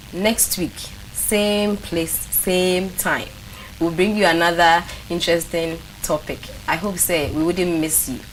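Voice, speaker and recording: A woman with a Ghanaian accent hosts a talk show in a chatty way. Her voice sits a little low for a woman. There is some faint noise in the studio recording. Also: a bit bright. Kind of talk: studio talk show chat, mixing English with a local language. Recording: clearly noisy studio recording.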